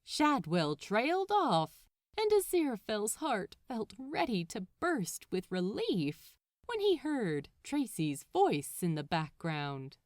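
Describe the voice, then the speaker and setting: A woman narrates fiction in an expressive audiobook style. She takes her time, with lively rises and falls in a mid-pitched voice, and the sound is dry. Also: takes it slowly, dry recording.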